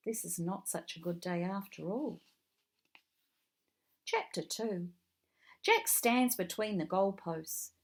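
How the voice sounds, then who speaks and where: A woman narrating a children's read-along story in a deliberate, expressive way. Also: medium pitch.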